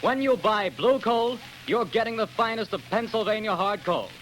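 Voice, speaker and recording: A man announcing a sponsor's message on an old radio show in a booming, emphatic way. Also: animated, normal speed, fairly bright tone, a little hiss or hum, poor audio. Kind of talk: 1930s radio advertisement announcing. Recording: old radio recording with music.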